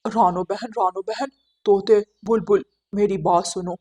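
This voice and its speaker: A woman's voice: mid-range voice, fairly even intonation, measured pace, mellow, slightly rough, voice pushed down. A woman voicing a loyal, anxious male pigeon in a cartoon, earnest and urgent in manner. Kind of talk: cartoon character acting.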